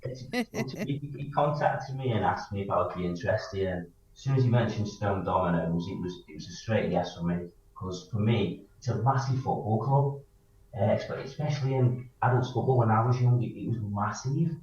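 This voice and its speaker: A man answers casually as a team manager, pressing his points by repeating them. His fairly low voice is heard in a very echoey space with a slightly dull sound and faint noise. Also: moderate pace, a little mellow, distant mic. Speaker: football team manager, interview guest. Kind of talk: casual radio interview answers.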